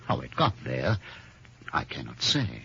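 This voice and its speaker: An actor playing a suave, guarded gentleman suspect in a radio mystery, polished and courteous but evasive. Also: rumbling low, huge pitch swings, measured pace, gravelly, slight background noise, full character voice. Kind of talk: old-time radio drama acting. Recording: muffled old radio recording.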